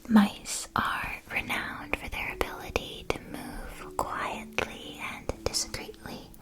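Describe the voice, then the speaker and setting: A woman playing a gentle, attentive witch school shopkeeper in an ASMR roleplay, speaking in a soft, breathy whisper with careful, polite phrasing. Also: slow pace. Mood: gentle, informative.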